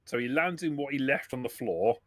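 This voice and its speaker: A man improvising narration as game master of a tabletop game, halting and rephrasing himself as he goes. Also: mid-range voice, dry recording.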